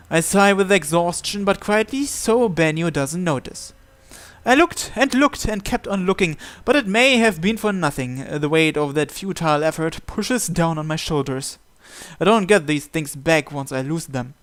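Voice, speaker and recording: A man reading visual novel text aloud as a narrator, with game music behind him. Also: sits high.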